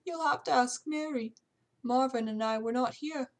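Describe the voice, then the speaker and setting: A woman performs a loyal, prim English housekeeper in a period storybook mystery. The voice sits high and speaks with proper, deferential manners in a put-on British accent. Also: moderate pace. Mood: tearful, earnest. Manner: proper, deferential, old-fashioned servant speech.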